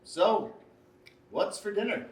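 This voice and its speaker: A man's voice: sits high, slow pace. A man acting a needling, self-absorbed husband in a naturalistic relationship drama, with emphatic delivery. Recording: echoey, distant mic, background noise.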